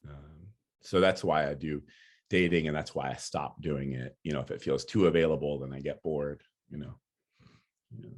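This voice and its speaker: A poet answering audience questions in a relaxed, loose way, with lots of fillers. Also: male voice, rumbling low, moderate pace. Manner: relaxed, many "you know" fillers, laughs easily. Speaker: featured speaker, poet. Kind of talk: casual talk and Q&A.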